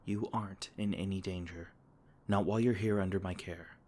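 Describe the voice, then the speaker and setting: A man voicing a gentle, protective male fae king in an ASMR comfort roleplay, soft-spoken and tender, stumbling over his words now and then. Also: sits low, steady tone, moderate pace. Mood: tender, soothing.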